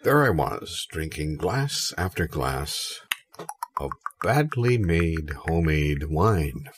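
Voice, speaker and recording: A man playing a mock-dramatic storyteller in an improvised audio comedy sketch, speaking slowly and deliberately. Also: very deep voice, sing-song, very noisy, voice pushed down, exaggerated voice. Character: dramatic man recounting drinking bad homemade wine.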